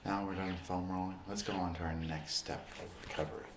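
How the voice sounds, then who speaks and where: Deep voiced guy doing asmr roleplay as a friendly amateur sporty type, halting short phrases, echoey room w noise behind him. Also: flat delivery. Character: Joe, friendly amateur basketball player and recovery expert. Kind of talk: ASMR roleplay, demonstrating objects. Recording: roomy echo, clear background noise.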